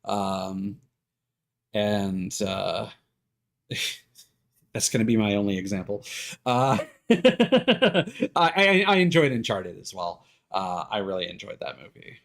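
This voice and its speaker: A man with a fairly low voice talks off the cuff as a live streamer. He rambles through his opinions, often repeating words as he feels for the next thought, and can be blunt. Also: creaky. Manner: halting, circling back and repeating words. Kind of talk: casual opinionated rambling on a livestream.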